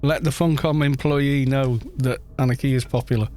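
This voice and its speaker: A man's voice: mid-range voice, steady tone, normal speed, darkish tone. Casual, rambling male game streamer. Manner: rambling, chuckling self-deprecation. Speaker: game livestreamer. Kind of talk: casual livestream chat.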